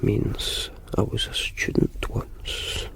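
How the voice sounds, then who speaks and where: An actor playing a dry, sardonic Scottish police detective in a radio drama. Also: male voice, takes it slowly, fairly bright tone, whispered. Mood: wry. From Scotland. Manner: dry and sardonic, low murmuring. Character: dry, cynical male Glasgow cop, middle-aged.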